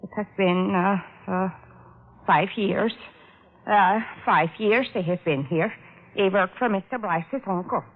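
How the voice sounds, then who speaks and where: A woman playing a nervous, eager housemaid with a foreign accent and halting, broken English in radio drama. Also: mid-range voice. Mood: helpful, hesitant. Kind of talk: 1940s-50s radio drama acting. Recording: tinny, phone-like old radio recording.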